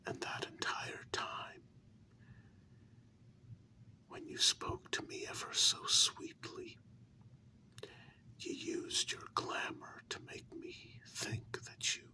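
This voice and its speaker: A performer whispering an ASMR role-play as a tender, candid human lover of a vampire, intimate and halting, in a reassuring way. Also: pushed and bright. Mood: tender, reminiscing.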